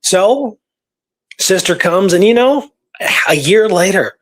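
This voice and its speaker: A man with a voice a little higher than most hosts a history podcast. He moves between reading old records and speculating aloud about them. His delivery is conversational, and he often checks that his listener is following. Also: animated, slow pace. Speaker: podcast host presenting historical research.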